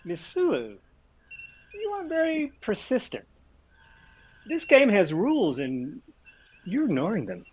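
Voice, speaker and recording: Man as slow, teasing starship lieutenant, muffled sound. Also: high-pitched, huge pitch swings, husky, full character voice. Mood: teasing, defiant. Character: male starship lieutenant, coolly flirtatious and defiant. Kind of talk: science fiction audio drama acting.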